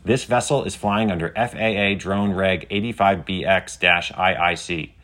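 A man's voice: low voice, moderate pace, fairly bright tone. A man voicing a small, loyal robot in a children's story, speaking formally and precisely. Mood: earnest, precise. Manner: formal, precise, recites codes and numbers. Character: small loyal robot, former pocket calculator, earnest and precise. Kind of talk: scripted children's audio story.

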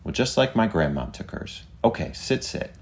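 A man's voice: on the low side, big pitch swings, moderate pace, pitched above the performer's own voice. A man voicing a stubborn, indignant woman of very great age, blunt and scolding, in a storybook reading. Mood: brisk, bossy. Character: feisty, stubborn 126-year-old woman, indignant and blunt.

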